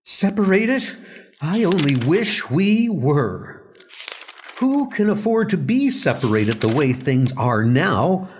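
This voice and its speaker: A man voicing a bitter, sharp-tongued middle-aged wife with shrill, scornful, drawn-out delivery. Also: big pitch swings, slight background noise, heavily acted.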